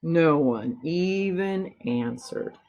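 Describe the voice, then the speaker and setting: A woman's voice: sits low, animated, drawn-out words, darkish tone, lo-fi sound. A woman reading a children's story aloud expressively, like a teacher, drawing out key words for dramatic emphasis.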